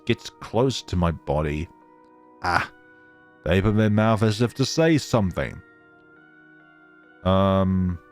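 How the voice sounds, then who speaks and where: A man reading a horror visual novel aloud in a theatrical, halting way, with casual asides. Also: low voice, darkish tone.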